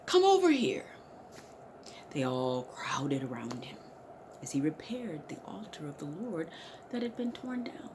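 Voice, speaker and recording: Slow, highly animated woman reading dramatically. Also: slight background noise. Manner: theatrical, emphatic, swinging between hushed and loud. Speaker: dramatic reader. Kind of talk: dramatic Bible story narration.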